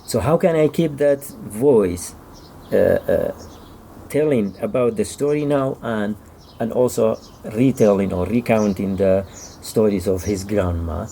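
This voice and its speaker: A male author gives a thoughtful interview in a soft-edged, mellow voice with plenty of gravel in it. He feels his way through each explanation, doubling back and repeating words. There is faint noise behind him. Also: fairly low pitch, a little slow. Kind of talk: thoughtful literary interview talk.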